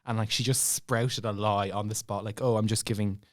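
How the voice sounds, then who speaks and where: A man's voice: fairly low pitch, fairly quick. A young man with an Irish accent telling a personal story in casual chat, acting out bits of dialogue as he goes. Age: young adult. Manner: animated retelling with acted-out dialogue and laughing asides. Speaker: podcast guest telling a story.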